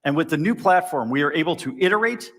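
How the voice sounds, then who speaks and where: A man's voice: fairly high pitch, expressive, fast talker. A man giving a polished, promotional corporate product demo. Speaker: product presenter.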